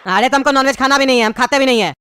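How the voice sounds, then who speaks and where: Cartoon comedy part: grumbling pumpkin convict played in a womanlike voice, always bargaining, Bihari-style Hindi, rapid and raised in pitch, noisy track. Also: pushed and bright. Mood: demanding. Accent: eastern Indian (Bihari-style) Hindi. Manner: querulous, complaining, haggling. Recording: clearly noisy background.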